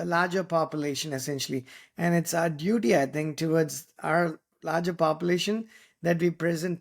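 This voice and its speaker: High, gravelly Indian-accented man talking shop. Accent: Indian English. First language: Tamil. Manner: earnest, many fillers and restarts, frequent "right?" tags. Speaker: podcast host. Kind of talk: solo advice podcast monologue.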